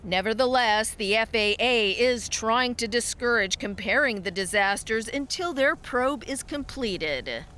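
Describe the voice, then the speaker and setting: A woman's voice: a little high, animated, measured pace, few pauses, bright, forceful tone, slight background noise. A woman narrating a scripted television news report as a reporter.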